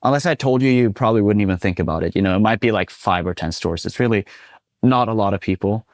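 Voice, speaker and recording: Chatty Swedish man with a low voice. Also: quick pace, dry recording, clean studio sound. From Sweden. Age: 20s. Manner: relaxed and chatty, many fillers like "you know". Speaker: guest. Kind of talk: casual interview.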